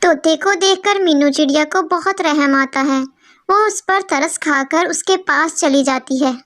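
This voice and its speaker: A woman's voice: high voice, unhurried, fairly bright tone. A woman narrating a scripted children's story.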